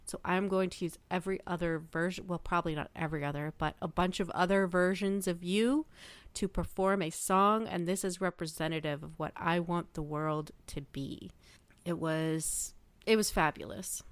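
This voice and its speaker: A woman's voice: lowish voice, steady tone, moderate pace, smooth, no echo. A woman chatting casually and emphatically as a panelist in a fan discussion podcast.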